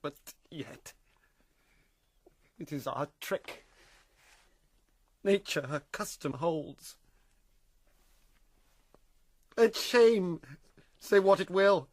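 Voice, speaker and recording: A man's voice: sits high, animated, drawn-out words, pauses often, dry recording, full character voice. An actor playing a passionate, vengeful young nobleman in a Shakespearean radio drama, intense and dramatic. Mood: choked grief. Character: vengeful young nobleman, passionate, hot-blooded, bereaved son and brother.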